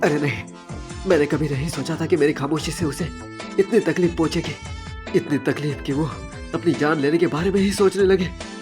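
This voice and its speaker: A man playing a gentle, anxious, polite teenage schoolboy, thinking aloud hesitantly in an anime fan dub. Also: a little high, moderate pace, over music, lightly acted. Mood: dismayed. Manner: hesitant, worried inner monologue.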